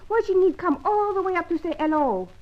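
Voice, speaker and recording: High, coy French dancer girl. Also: lively intonation, a little fast. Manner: coy, pleading, broken English. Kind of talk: old-time radio serial acting. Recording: dull lo-fi old radio recording.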